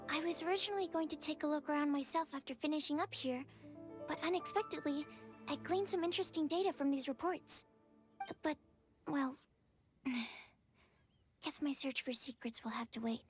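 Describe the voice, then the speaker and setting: An eager young alchemy student voiced by a woman speaks in a fairly high voice. She is polite and earnest, and pleads a little as she asks for help. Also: normal speed, long pauses, faint music behind, natural voice.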